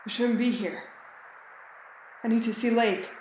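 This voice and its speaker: Woman acting frightened polar explorer, slow halting delivery, brooding, radio drama, roomy echo, noisy background. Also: on the high side, steady tone, a little mellow, close to an everyday voice. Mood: rising panic. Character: frightened woman on an Antarctic expedition, unravelling with dread.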